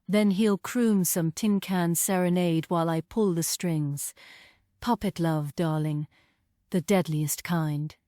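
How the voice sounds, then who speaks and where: A woman's voice: fairly low pitch, warm tone, no room sound, studio quality. A woman playing a scheming, sarcastic villainess in a stage table read, sly, mocking and melodramatic.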